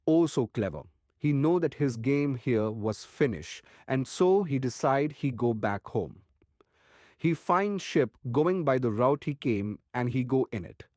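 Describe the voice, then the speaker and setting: A man voicing an earnest foreign professor and monster hunter in an audiobook, with halting grammar and grand rhetoric. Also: mid-range voice, darkish tone, no echo, studio quality, lightly acted. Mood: resolute. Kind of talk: dramatised audiobook narration.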